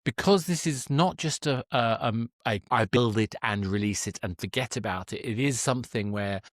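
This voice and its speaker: A man's voice: mid-range voice, moderate pace, dry recording, studio quality. A man hosting a casual interview, searching for his words as he thinks aloud. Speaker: podcast host, interviewer.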